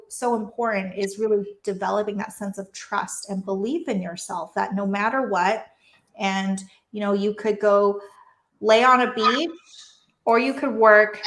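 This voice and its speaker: A woman's voice: mid-range voice, a bit bright, a little hiss or hum. Woman guest from Taiwan, reflective and earnest, speaking on a video call in a roomy, echoey space. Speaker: guest, physician and coach. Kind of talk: conversational interview. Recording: roomy echo.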